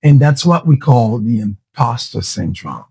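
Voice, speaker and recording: A husky-voiced man coaches his listeners in a podcast. He talks earnestly and often restarts his phrases. The sound is dry and close. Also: mid-range voice, animated, a little slow, mellow, no room sound. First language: Haitian Creole. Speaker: podcast host. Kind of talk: motivational podcast talk.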